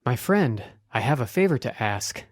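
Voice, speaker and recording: A woman's voice: extremely low pitch, sing-song, moderate pace, voice pushed down, heavily acted. A woman voicing an anxious, earnest young man in a children's story, with dramatic, plaintive delivery. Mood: pleading.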